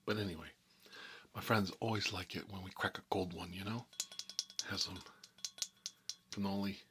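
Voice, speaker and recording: A man playing an exasperated mob boss in a comedy monologue, rambling in tough-guy slang and repeating himself, with a husky voice. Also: sits low, steady tone, moderate pace, background noise, close to an everyday voice. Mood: laid-back, rambling. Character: exasperated mob boss telling family stories. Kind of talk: rambling comedic storytelling in character, between whispers and outbursts.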